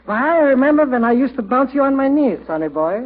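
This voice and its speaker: A man's voice: very high-pitched, sing-song, fast. A man playing an effusive, wisecracking furniture store owner who mangles his phrases in a 1940s radio comedy. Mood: effusive greeting. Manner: jokey salesman patter full of malapropisms. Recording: muffled vintage radio recording.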